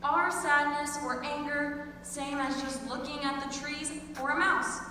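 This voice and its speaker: A woman's voice: high voice, steady tone, measured pace, pushed and bright. A teenage girl reciting a monologue as a student performer. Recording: very echoey hall, distant mic, faint noise.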